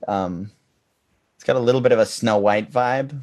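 A man co-hosting a podcast in casual chat, talking haltingly with a deep voice. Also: darkish tone. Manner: halting, many fillers and restarts, laughs often. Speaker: podcast co-host.

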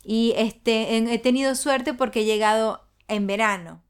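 Venezuelan woman chatting in an interview, a little high, smooth clear voice, lots of restarts. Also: moderate pace. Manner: chatty, with frequent restarts and repeated words. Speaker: guest. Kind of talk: casual interview chat. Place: London.

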